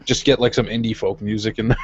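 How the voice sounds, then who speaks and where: A man's voice: fairly low pitch, steady tone, fast, slight background noise. A man taking part in a casual group voice chat, joking and sarcastic and laughing often. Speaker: chat guest. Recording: lo-fi mic.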